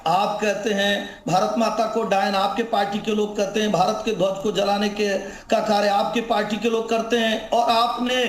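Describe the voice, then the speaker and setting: Man on a debate panel, voice way up high, accusing and repeating himself, echoey room. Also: flat delivery, normal speed, few pauses. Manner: accusatory, repetitive oratory. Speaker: political spokesman, debate panelist. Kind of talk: heated television debate. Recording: roomy sound with faint noise.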